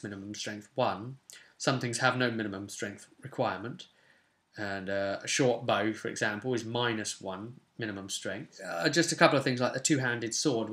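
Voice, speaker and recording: Young english guy, bright voice, chatty gaming tutorial, bit wry. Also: lowish voice. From England. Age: 20s. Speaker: host of tabletop roleplay channel. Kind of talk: casual tutorial chat to viewers. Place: small home room, indoors.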